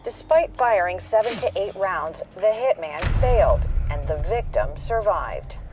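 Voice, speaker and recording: A woman narrating a news report in a measured, factual manner. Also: mid-range voice, very animated, music in the background, low-quality recording.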